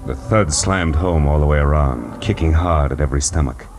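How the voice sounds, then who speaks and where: An actor playing a wry, hard-boiled private detective in a 1940s radio noir, with clipped, dramatic delivery. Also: male voice, rumbling low, normal speed. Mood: grim. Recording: noisy old 1940s radio recording.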